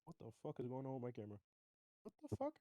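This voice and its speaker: Mellow, sweary male tabletop player. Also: medium pitch, very animated, racing, no echo. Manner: profane, slangy, grumbling. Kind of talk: casual gaming chat.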